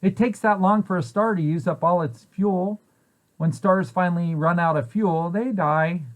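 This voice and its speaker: Higher-voiced man reading a children's book aloud. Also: normal speed. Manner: explanatory, poses questions then answers them. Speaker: preschool site director reading aloud to children.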